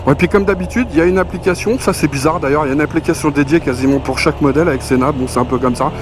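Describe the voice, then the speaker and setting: Higher-pitched man, casual reviewer, music behind. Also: racing. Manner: colloquial, conversational, punctuated with slang and spoken sound effects. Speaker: motorcycle gear reviewer, presenter. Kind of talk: casual spoken product review. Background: clear background music.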